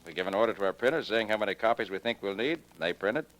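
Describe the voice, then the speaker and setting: Brusque, slangy music publisher, lively and low, wisecracking, golden-age radio style. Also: male voice, racing. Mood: wry. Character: gruff, wisecracking music publisher, grumbling about sales. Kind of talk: 1940s radio detective drama acting.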